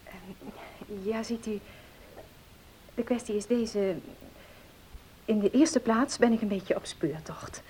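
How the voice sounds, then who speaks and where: A woman's voice: a little high, fairly even intonation, slow pace, long pauses, dark tone, slight background noise, poor audio. An actress playing an earnest, troubled young woman in a Dutch radio play, speaking politely, formally and with some hesitation. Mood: hesitant, polite.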